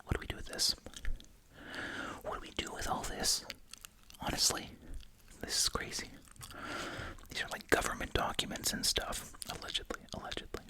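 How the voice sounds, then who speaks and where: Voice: normal speed, long pauses. An ASMR creator whispering breathily while chewing gum, reading out summaries and adding casual, musing asides. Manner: casual, musing, repeats short phrases.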